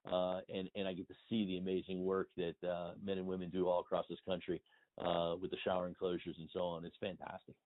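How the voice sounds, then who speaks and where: Deep-voiced man on a muffled phone line. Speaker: interview guest, industry consultant and blogger. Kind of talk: conversational phone interview. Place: remote phone call.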